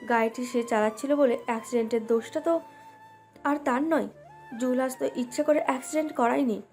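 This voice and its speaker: A woman's voice: high-pitched, brisk, a little mellow. A woman reading a scripted romantic story as its narrator. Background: background music.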